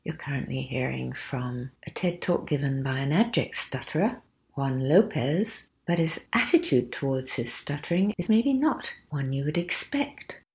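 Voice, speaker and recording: A woman radio host speaks between clips on her evening show in a steady, measured way. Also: very deep voice, vocal fry.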